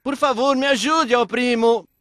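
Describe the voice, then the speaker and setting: A man voicing a desperate, quarrelsome man pleading in a drawn-out wail, in a dubbed animated story. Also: extremely high pitch, dry recording, studio quality.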